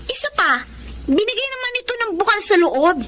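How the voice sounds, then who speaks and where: An actress playing a pushy, practical young daughter in a Filipino family drama, talking in a pert, nagging way. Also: female voice, very high-pitched, very animated, brisk, bright, forceful tone, a little hiss or hum, full character voice. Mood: pert, insistent.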